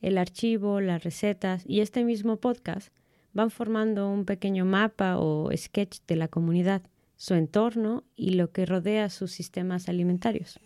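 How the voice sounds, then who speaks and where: A woman's voice: medium pitch, fairly even intonation, measured pace, warm tone, no room sound, studio quality. A woman co-hosting a podcast in a partly scripted presenting style. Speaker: podcast co-host. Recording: guitar music under the voice. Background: guitar music.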